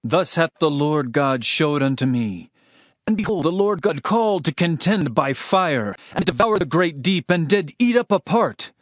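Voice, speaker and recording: A man's voice: on the high side, expressive, a little slow, no echo, clean studio sound. A man playing an earnest herdsman prophet, solemn and declamatory, in a dramatized scripture reading. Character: Amos, earnest male herdsman prophet proclaiming judgment. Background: music.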